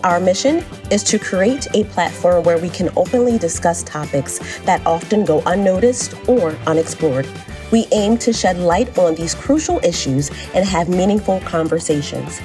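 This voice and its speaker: A woman reading a scripted podcast introduction in a measured, earnest way. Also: lowish voice, lively intonation, over music.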